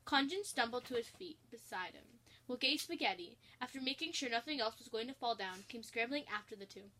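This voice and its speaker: A woman narrates a comic fan story in a fairly high, very bright voice. She reads as a storyteller, voicing the prose aloud. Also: lively intonation, fairly quick.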